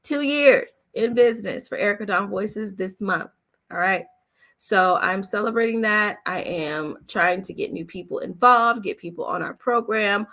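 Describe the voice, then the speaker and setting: A woman's voice: medium pitch, normal speed, a bit bright. A woman coaching on a casual livestream, upbeat and encouraging, often checking in with her listeners as she goes. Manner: checks in often with "okay?" and "all right?". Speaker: voice-over coach hosting a live Q&A. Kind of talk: casual livestream talk with promotion.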